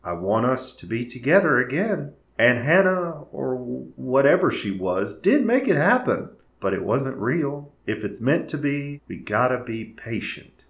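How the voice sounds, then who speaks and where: Casting: man as meek cartoon dad, middle-aged, apologetic, slow and swooping delivery. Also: mid-range voice, sing-song, gravelly, fairly bright tone, roomy sound, heavily acted. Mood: remorseful. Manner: hesitant, sheepish. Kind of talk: fan-made cartoon audio drama.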